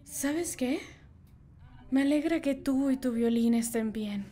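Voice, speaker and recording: An elegant, weary cartoon lady cat voiced by a woman, high and soft-toned. She speaks gently and hesitantly in a Latin American accent. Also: slow pace, slight background noise. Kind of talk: animated fan dub acting.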